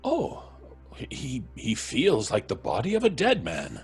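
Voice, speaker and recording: An actor playing a genteel, moralising older professor in old-time radio horror style, husky, fussy and stammering. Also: male voice, mid-range voice, wildly swooping pitch, a little slow, darkish tone, faint music behind, exaggerated voice. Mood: astonished.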